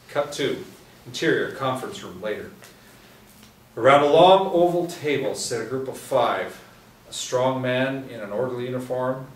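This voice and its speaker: A man reading screenplay stage directions aloud at a table read, in a plain, even way. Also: mid-range voice. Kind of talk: script reading. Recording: roomy echo, distant mic, faint hiss.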